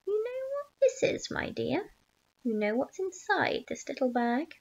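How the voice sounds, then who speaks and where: A woman doing a creaky, whispering little old man. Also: high-pitched, very animated, exaggerated voice. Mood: whispering coaxing. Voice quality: slow and creaky. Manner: whispering, conspiratorial. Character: small creaky-voiced old man, mysterious and coaxing. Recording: dull lo-fi recording.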